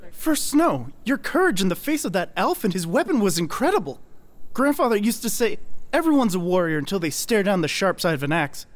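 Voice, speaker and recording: A woman's voice: medium pitch, big pitch swings, fairly quick, natural voice. A woman voicing an eager, admiring village boy in a fantasy audio drama, with an earnest, gushing delivery. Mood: awed, excited.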